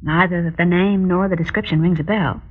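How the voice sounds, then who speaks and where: An actress playing a proper, stubbornly disbelieving wife in an old-time radio drama, with a slightly husky voice and a polite, clipped, defensive manner. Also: female voice, lowish voice, fairly even intonation, moderate pace. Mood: guarded, polite. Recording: dull, lo-fi vintage radio recording.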